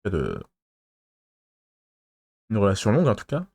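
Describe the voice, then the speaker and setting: A man from France giving advice in a casual monologue to his viewers, halting and rambling, pausing constantly and losing his thread. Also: lowish voice, huge pitch swings, creaky, a little mellow, breathy edge, no echo, clean studio sound. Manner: loses his thread mid-talk. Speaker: vlogger giving dating advice.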